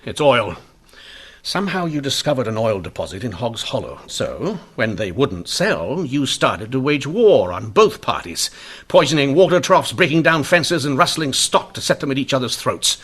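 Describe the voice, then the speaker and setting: Husky-edged, shrewd police inspector. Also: male voice, mid-range voice, big pitch swings, a bit bright, no echo. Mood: assured, accusing. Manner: measured, deliberate, explanatory. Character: shrewd, composed Victorian-era police inspector, male. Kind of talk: old-time radio mystery drama acting.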